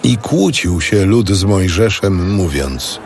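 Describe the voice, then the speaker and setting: A man narrating a scripted biblical audio drama in a solemn, measured manner. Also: sits low, lively intonation, a little mellow, slight background noise.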